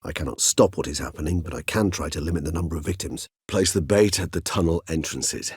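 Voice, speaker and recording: A man's voice: deep voice, fast, a little mellow, breathy edge, dry recording, clean studio sound. A male actor playing a courteous, erudite and melancholy ancient vampire in a video game, speaking formally and eloquently with a wry, philosophical turn. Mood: grave. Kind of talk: video game character dialogue.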